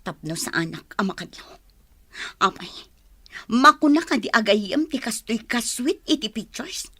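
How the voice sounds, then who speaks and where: High-voiced, accusing girlfriend played by an actress. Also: big pitch swings, unhurried, bright, forceful tone, breathy edge, no echo, clean studio sound, full character voice. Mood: accusing, hurt. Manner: accusing, insistent. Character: hurt, suspicious girlfriend confronting her unfaithful boyfriend. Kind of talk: emotional Ilocano radio drama acting.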